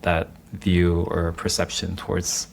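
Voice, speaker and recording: A man's voice: low-pitched, monotone, slow pace, creaky, a little hiss or hum. A man co-hosting a podcast, speaking in an easygoing, slightly hesitant casual way and chuckling mid-sentence. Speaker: podcast co-host. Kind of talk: casual conversation.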